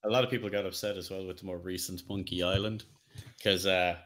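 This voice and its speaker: A man with a fairly low voice chats informally about games. He sounds like a podcast co-host in easy back-and-forth conversation. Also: little pitch movement, quick pace, a crowd in the background. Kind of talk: casual chat about video games.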